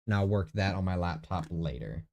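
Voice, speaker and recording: A man's voice: sits low, lively intonation, fairly quick, vocal fry, a little mellow. Nasal young man rambling through game prep. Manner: rambling, self-correcting, talks to himself. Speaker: tabletop game master. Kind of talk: casual screen-recorded prep vlog. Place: his bedroom. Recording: faint echo in the room.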